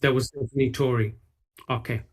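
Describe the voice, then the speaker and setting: A man on a discussion panel, asking questions and making points in a careful, deliberate way. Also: medium pitch, little pitch movement, darkish tone.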